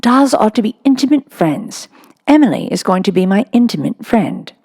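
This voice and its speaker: A woman's voice: on the high side, animated, normal speed, darkish tone, husky, pitched above the performer's own voice. A woman voicing a solemn, imaginative little girl from a storybook in an earnest, matter-of-fact way.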